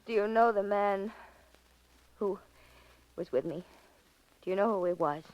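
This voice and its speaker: A woman's voice: mid-range voice, unhurried, highs cut off, close to an everyday voice. An actress playing a frightened but stubborn captive woman in melodramatic, pleading 1940s radio drama style. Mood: defiant.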